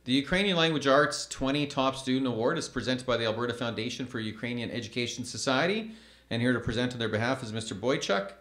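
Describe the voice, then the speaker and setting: A man's voice: mid-range voice, a bit bright, echoey room. Formal man announcing awards at a podium. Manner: measured, formal, reads names slowly. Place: school event stage at a podium. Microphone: podium microphone.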